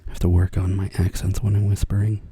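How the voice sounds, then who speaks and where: An older man chatting softly in a whisper as an ASMR artist, rambling and soft-spoken. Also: low-pitched, little pitch movement, quick pace, breathy edge, no room sound.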